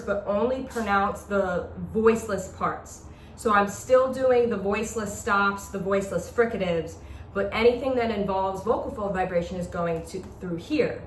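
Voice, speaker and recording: A woman instructor explaining step by step in an informal, demonstrative lecture style. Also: medium pitch. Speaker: instructor demonstrating a talk box. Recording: echoey, distant mic with background noise.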